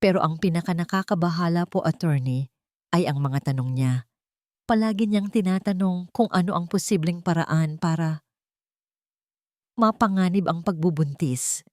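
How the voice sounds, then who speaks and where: A woman's voice: fairly low pitch, a little mellow, no echo, studio quality, close to an everyday voice. Woman voicing a fearful old Filipino woman. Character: frightened, conscience-stricken old retired female hospital worker.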